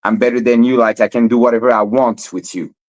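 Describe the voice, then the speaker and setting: A man's voice: mid-range voice, steady tone, fast. A young man from Montreal, a fighter guest in a casual interview, who stammers and repeats words often. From Montreal, Canada.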